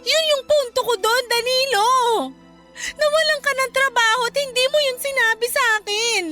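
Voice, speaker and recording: A woman's voice: very high voice, normal speed, sharp tone. A woman playing an angry, reproachful young Filipino wife and mother in a radio drama, scolding bluntly and insistently. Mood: angry, accusing. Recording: music bed behind the voice.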